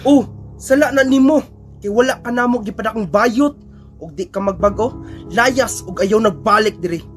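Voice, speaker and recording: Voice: medium pitch, normal speed, soft background music, natural voice. An actor playing a stern, disapproving, angry father with a Cebuano accent in a radio drama. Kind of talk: amateur radio drama acting.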